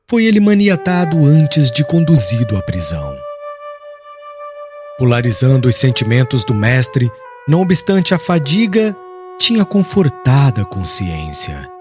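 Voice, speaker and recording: A man narrating an audiobook in a formal, literary and dramatic scripted style. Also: a little high. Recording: soft music underneath.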